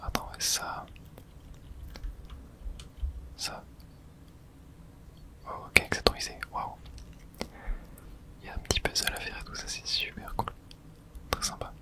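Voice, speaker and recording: Someone doing asmr gameplay, all whispered and breathy, chatty little remarks, close on the mic. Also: normal speed, long pauses. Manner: casual, thinking aloud in short remarks. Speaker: video game streamer playing and commenting.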